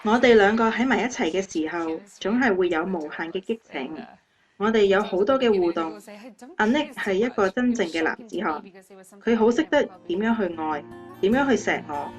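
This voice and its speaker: Radio drama: actress as affectionate, earnest young fiancée, mid-range voice, guitar underneath. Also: female voice, mid-range voice, expressive, moderate pace, natural voice. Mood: loving. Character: adoring, happy young fiancée of a motivational speaker. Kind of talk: scripted radio drama interview. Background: guitar music.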